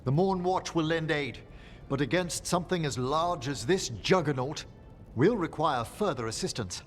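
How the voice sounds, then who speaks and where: Man playing a formal ally, higher-pitched, reverberant. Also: lightly acted. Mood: formal, cautious. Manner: formal, measured. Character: formal, courteous male order member offering aid. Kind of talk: video game cutscene acting. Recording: long reverb, faint music.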